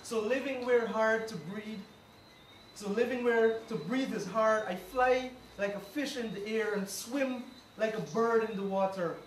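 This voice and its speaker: High-voiced man reciting poetry slowly. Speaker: poetry reader, linguistics lecturer. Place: indoor recital event room. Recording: distant mic, echoey room, loud noise.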